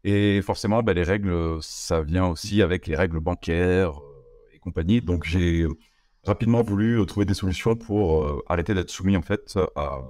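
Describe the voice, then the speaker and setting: A young man from France talking as an interview guest, casual and halting, with many fillers and restarts. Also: low-pitched, darkish tone, no echo.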